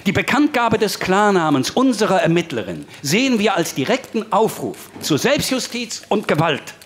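Curt, higher-voiced police spokesman. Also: big pitch swings, normal speed, a bit bright, slight background noise. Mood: formal. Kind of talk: official press conference statement, acted.

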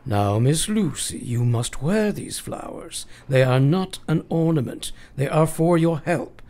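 A woman playing a grave, eccentric male professor of medicine in a radio play, speaking solemnly and deliberately. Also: low voice, lively intonation, mellow. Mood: solemn, insistent. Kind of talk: radio drama acting.

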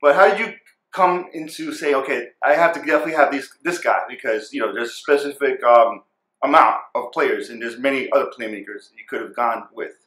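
A man's voice: medium pitch, brisk, fairly bright tone. A man hosting an interview show in a casual, conversational way. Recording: roomy, echoing room sound.

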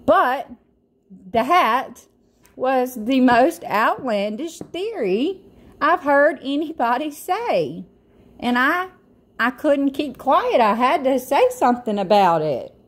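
A woman with a Southern US accent giving casual, emphatic and incredulous opinion commentary to her viewers. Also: sits high. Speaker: YouTube commentator.

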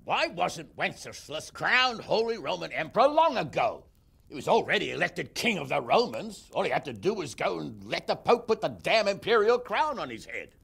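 An actor playing a blunt, impatient lord who swears and jokes dryly, with a gravelly, husky voice. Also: male voice, on the high side, sing-song, normal speed, fairly bright tone, exaggerated voice. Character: blunt gruff male lord, impatient and sardonic.

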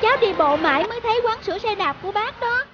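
A woman voicing a polite, pleading youngster in an animated story, over loud music. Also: very high-pitched, fast talker, lo-fi sound, noisy background. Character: very high-voiced young child, polite and pleading. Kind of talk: animated moral story dubbing. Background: loud background music.

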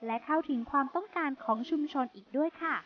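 A woman's voice: sits high, fast, highs cut off, a little hiss or hum. A young woman hosting a television show, presenting in a polite, chirpy scripted style. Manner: polite, chirpy, frequent soft polite particles. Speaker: TV show host. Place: inside a busy supermarket.

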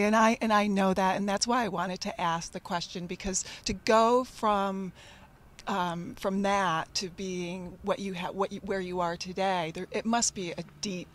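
A woman's voice: on the low side, a bit bright. A woman from upstate New York, an agriculture education coordinator, hosting a farm interview in an upbeat, enthusiastic way. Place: outdoors on a farm, then inside a greenhouse.

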